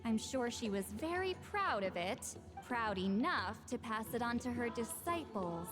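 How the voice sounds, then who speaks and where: A young shaman woman from a fantasy game. Her voice is fairly high and swings freely in pitch. She talks down to people, blunt and brusque, with faint music behind her. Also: big pitch swings, measured pace. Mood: challenging. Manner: brusque, condescending, sighing. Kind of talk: video game voice acting.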